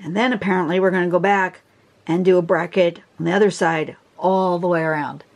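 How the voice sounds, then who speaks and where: A woman with a hoarse voice from a cold and laryngitis, talking casually through a drawing tutorial, chatty and rambling with fillers and throat clearing. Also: fairly low pitch, fairly even intonation, a little fast, a little mellow. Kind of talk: casual instructional talk. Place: indoors at a table, filming a phone screen.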